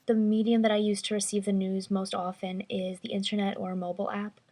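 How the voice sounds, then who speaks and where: A woman around twenty answering interview questions thoughtfully, pausing with fillers. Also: medium pitch, little pitch movement, dark tone. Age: 20s. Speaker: survey respondent.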